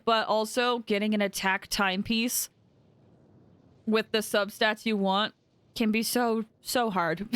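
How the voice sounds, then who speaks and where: A woman chatting casually on a game stream, giving animated reactions to what she sees. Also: medium pitch, clear, resonant tone. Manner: chatty, animated reactions, breaks into singing and laughter. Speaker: livestreamer. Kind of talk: casual live gaming commentary with chat. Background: game music.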